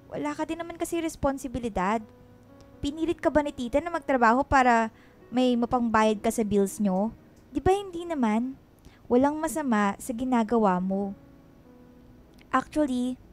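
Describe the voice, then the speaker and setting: A woman acts out a young Filipina sidekick in a radio drama, with a very high voice. She is vain, loyal and blunt, full of teasing exclamations. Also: close to an everyday voice. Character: sassy, vain, fiercely loyal young woman best friend.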